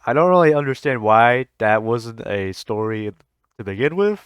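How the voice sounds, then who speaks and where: A man's voice: mid-range voice, animated, normal speed, clear, resonant tone, no room sound, studio quality. A man giving casual, rambling reaction commentary on a video game as a YouTuber, informal and sometimes swearing.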